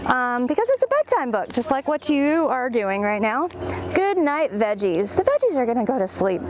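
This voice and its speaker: A woman's voice: sits high, noisy background. A woman hosting storytime for young children, reading aloud expressively and adding chatty, enthusiastic asides. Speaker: children's librarian, storytime host.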